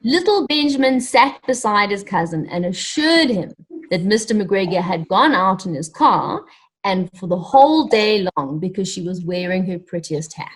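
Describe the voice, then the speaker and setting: A woman reading a story aloud to children, animated and encouraging, pausing to ask them questions directly. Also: mid-range voice, breathy edge. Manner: animated, encouraging, addresses young listeners directly.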